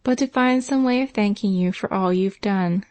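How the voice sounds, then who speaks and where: A woman's voice: medium pitch, moderate pace, darkish tone, dry recording, close to an everyday voice. A woman voicing a humble, grateful poor servant girl with plain, homely speech. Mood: earnest.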